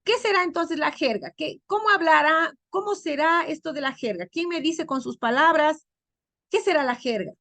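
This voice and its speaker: A woman teacher giving an online class over a video call, quizzing her students and drawing out key words slowly. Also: high-pitched, a bit bright, no echo.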